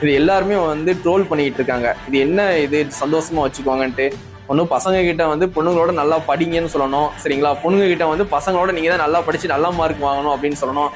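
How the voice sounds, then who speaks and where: A man's voice: on the high side, fast talker, faint music behind. A man giving casual, conversational commentary, circling back over points and often adding tag questions. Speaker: online commentator.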